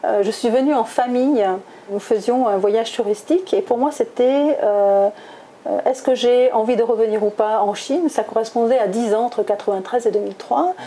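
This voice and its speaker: A woman's voice: a little high, moderate pace, mellow. A middle-aged woman from southwest France answering interview questions conversationally, with many hesitations and self-corrections. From Toulouse, southwest France. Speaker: interviewee, executive recruiter.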